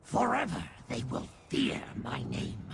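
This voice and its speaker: An actor playing a vengeful demon lord in a lesser form for a video game, creaky and high-pitched. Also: male voice, very animated, unhurried, full character voice. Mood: boastful, sinister. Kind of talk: video game character acting. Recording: loud noise behind.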